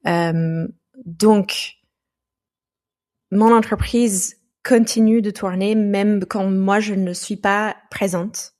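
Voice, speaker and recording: A woman from the United States, a podcast guest talking through her experiences in a thoughtful, halting way with frequent restarts. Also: mid-range voice, fairly even intonation, a little mellow. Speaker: podcast guest, founder of a training company. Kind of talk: casual podcast conversation.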